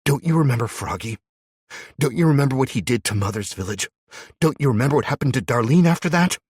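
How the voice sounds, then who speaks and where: A hard, vengeful young woman, as a male narrator puts her on, pushing relentlessly for a kill. Her voice is husky and swings widely in pitch. It sits in a dry, close audiobook sound. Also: animated, normal speed, dry recording. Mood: cold, insistent.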